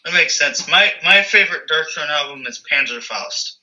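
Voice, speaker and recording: A man's voice: a little high, lively intonation, normal speed, sharp tone, low-quality recording. A man as guest in a casual interview, easygoing and stammering on the starts of sentences. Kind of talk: casual chat.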